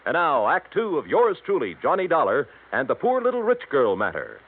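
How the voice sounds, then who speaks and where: A man's voice: fairly high pitch, huge pitch swings, fairly quick, a little hiss or hum. A man announcing an old-time radio drama in a dramatic style. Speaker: programme announcer. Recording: muffled vintage radio recording, faint music.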